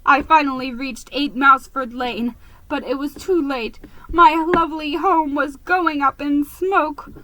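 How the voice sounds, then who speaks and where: A woman's voice: high voice, fairly even intonation, a little slow, pushed and bright, poor audio. A woman giving a dramatic, emphatic reading of a children's story. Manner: theatrical, emphatic. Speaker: storybook reader.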